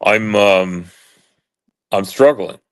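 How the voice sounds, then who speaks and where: A man in his late forties with a deep booming voice, talking haltingly with many fillers while in pain and trying to keep his voice even. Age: 40s. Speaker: vlogger. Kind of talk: casual monologue.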